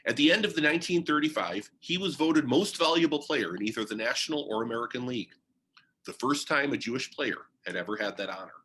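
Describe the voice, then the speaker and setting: A man reading a biography aloud in an expressive storytelling manner. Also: mid-range voice, quick pace, poor audio. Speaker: reader of a biography. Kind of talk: read-aloud biography narration.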